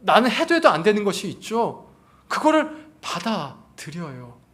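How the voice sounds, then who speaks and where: A man's voice: sits high, huge pitch swings, moderate pace, a bit bright. A man preaching a sermon as a pastor, using rhetorical questions and repeating phrases for emphasis. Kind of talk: sermon to a congregation.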